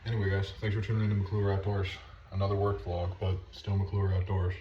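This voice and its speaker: A man vlogging casually from his workplace in a deep voice, rambling with fillers, recorded on a phone. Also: monotone, brisk, lo-fi sound, a little hiss or hum. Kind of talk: casual vlog chat. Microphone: phone mic. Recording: roomy, distant phone recording.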